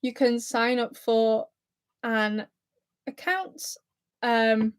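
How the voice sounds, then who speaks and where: A woman's voice: fairly high pitch, fairly even intonation, extremely slow, clear, resonant tone. Giggly woman with a Lancashire-Scouse accent. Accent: Lancashire and Scouse mix. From England, near Blackpool. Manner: chatty, giggly and self-deprecating, nervous. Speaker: YouTuber hosting a livestream. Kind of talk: casual live-stream chat reading viewer comments.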